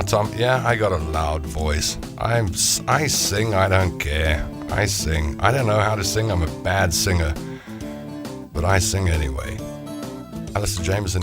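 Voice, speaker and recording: Low-voiced host, chatty. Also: male voice. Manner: chatty, self-mocking, rambling asides. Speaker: live show host. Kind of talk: casual chat reading viewer comments. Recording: music playing behind the voice.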